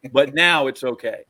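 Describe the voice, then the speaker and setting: A middle-aged man with a medium-pitched voice in a dry, close sound. He gives opinions on sports casually, circling and repeating words as he goes. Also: bright, forceful tone, no room sound. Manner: rambling, halting phrasing with repeated words. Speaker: podcast co-host and TV sportscaster.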